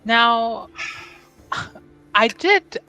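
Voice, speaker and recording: Woman roleplaying at the table, talks real slow, pauses a lot. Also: a little high, animated, sharp tone, soft background music, poor audio. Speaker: tabletop game player. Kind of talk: in-character roleplay.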